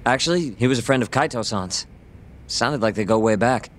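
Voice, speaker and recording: An actor voicing a casual, laid-back friend in a video game. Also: male voice, mid-range voice, expressive, brisk, a little hiss or hum, close to an everyday voice. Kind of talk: video game dub acting.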